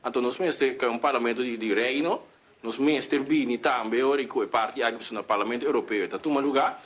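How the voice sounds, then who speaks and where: A parliament member speaking in a debate, halting and repeating himself as he asks his question. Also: mid-range voice, a little hiss or hum.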